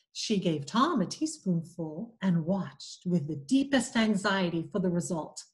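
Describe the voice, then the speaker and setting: A woman with a New England accent reading a classic novel aloud, in a measured, expressive storytelling manner. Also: medium pitch. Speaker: community reader.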